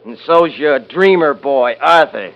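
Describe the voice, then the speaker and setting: An actor playing a domineering, scornful eldest-brother rancher in a 1950s radio drama, with folksy Western speech. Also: male voice, mid-range voice, expressive, measured pace, muffled recording. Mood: harsh, mocking. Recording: hissy 1950s radio recording.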